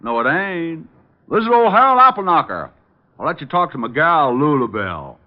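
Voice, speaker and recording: A cranky old backwoods hog farmer, drawling in a raised pitch. It has the folksy ring of old radio comedy and a muffled vintage sound. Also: male voice, big pitch swings, moderate pace. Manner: drawling rustic backwoods talk. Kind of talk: 1940s radio comedy acting. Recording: dull old-time radio recording.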